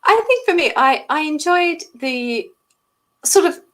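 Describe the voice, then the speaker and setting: A woman's voice: high-pitched, animated, measured pace, fairly bright tone. A woman in a casual book discussion, thinking aloud as a co-host with hesitant phrasing.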